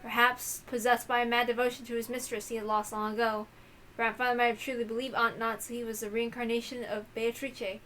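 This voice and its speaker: A woman's voice: on the high side, level tone, fairly quick, sharp tone, a little hiss or hum. A woman reading a visual novel aloud as dramatic scripted narration.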